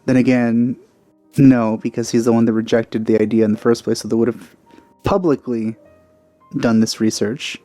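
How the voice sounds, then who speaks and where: A man giving casual, halting, nitpicky running commentary as he plays a video game. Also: medium pitch, fairly even intonation, warm tone, faint music behind. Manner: halting, self-correcting, nitpicky. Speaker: let's player commenting on a game.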